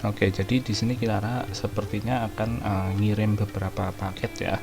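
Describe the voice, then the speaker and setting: Low-voiced man commenting on a game. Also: level tone, brisk. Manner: casual, slangy, rambling. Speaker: gaming streamer. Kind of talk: casual game playthrough commentary. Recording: commentary over game music and dialogue. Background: video game music.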